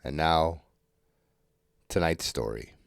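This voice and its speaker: Very deep, slow male announcer. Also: no echo, clean studio sound. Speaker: show announcer. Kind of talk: slow scripted introduction.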